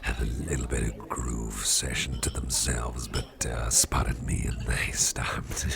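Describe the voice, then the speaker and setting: A man playing a jovial, impish old devil boss in a soft, rambling, genial ASMR roleplay chat with a gravelly voice. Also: level tone, background noise, exaggerated voice. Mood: chatty. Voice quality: gravelly, fry-laden deep voice. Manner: unhurried, rambling, genial, with repeated phrases. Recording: party crowd murmur behind voice. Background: party crowd chatter.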